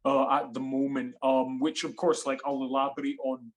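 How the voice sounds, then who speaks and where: A man's voice: medium pitch, moderate pace. A man in his twenties, from Sri Lanka, with a Scottish accent, rambling animatedly as the guest in a casual interview. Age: 20s. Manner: animated, rambling, many fillers and restarts. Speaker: guest actor and writer.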